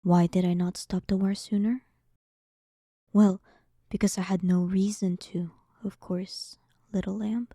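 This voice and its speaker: A woman plays a dominant demon queen in an intimate roleplay audio. Her voice is very dark and velvety, with a soft breathy edge, and she takes her time over every phrase. She sounds haughty and bored, teasing her listener with dry, condescending sarcasm, all in a close, dry recording. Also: medium pitch, little pitch movement, unhurried, pauses often, no room sound. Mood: coolly matter-of-fact. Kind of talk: scripted roleplay audio, intimate one-sided dialogue.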